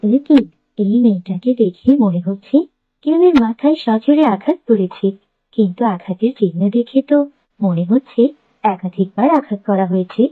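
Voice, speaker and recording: A woman voicing a caring, grave magical queen in an animated fairy tale, with a thin, phone-like sound. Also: medium pitch, moderate pace, dry recording, lightly acted. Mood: concerned. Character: concerned, caring queen with magical healing powers.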